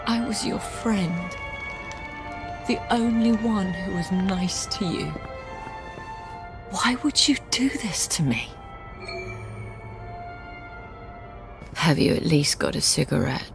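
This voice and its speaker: Bitter British lady official, lowish voice, unhurried, intense and breathy, music underneath. Game drama. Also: lively intonation, heavily acted. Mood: pleading. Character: desperate, bitter, refined English woman official. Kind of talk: dramatic video game voice acting.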